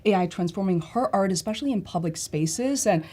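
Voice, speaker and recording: A woman's voice: mid-range voice, animated, fairly quick, mellow, husky. An enthusiastic woman in her thirties speaks as a livestream guest. Her voice sits in a middle range, and she talks fluently and articulately. She keeps an upbeat, eager tone throughout. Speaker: livestream guest, content creator. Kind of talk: casual livestream chat.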